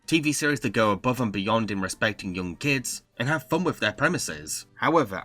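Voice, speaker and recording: A man's voice: mid-range voice, fairly bright tone. A man with a British accent hosting a scripted video review in a wry, opinionated way.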